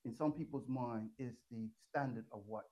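A Caribbean man hosting an interview in a relaxed chatty way, rambling through his questions. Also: medium pitch, moderate pace. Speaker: interview host. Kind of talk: casual interview chat.

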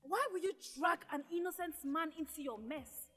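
Agitated, very high-voiced woman. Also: moderate pace, a bit bright, big-room sound, soft background music. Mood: accusing. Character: agitated, confrontational woman defending herself against accusation. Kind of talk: heated melodrama acting.

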